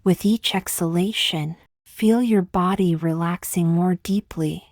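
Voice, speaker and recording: A woman leading a guided meditation in a calm, gently measured, scripted style with long pauses between phrases. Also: fairly low pitch, no room sound, clean studio sound.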